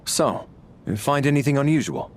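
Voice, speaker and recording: A man's voice: mid-range voice, animated, moderate pace, a little hiss or hum, close to an everyday voice. An actor playing a direct, businesslike, inquisitive male detective in a video game cutscene. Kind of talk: anime-style video game cutscene acting.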